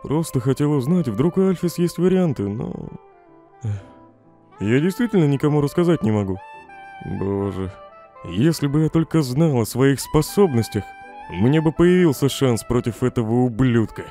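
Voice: medium pitch, animated, normal speed, dark tone, faint music behind, natural voice. A performer voicing a troubled, self-doubting male skeleton monster in an emotional comic dub. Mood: weary, frustrated.

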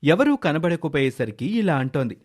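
A man's voice: on the high side, sing-song, normal speed. A man narrating a scripted moral story as its storyteller.

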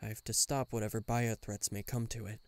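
A man's voice: lowish voice, little pitch movement, fairly quick, no room sound, natural voice. A man playing a guarded government bodyguard in an intimate listener roleplay, dryly teasing and reluctantly tender. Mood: teasing, reserved. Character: gruff, guarded male government bodyguard, secretly fond.

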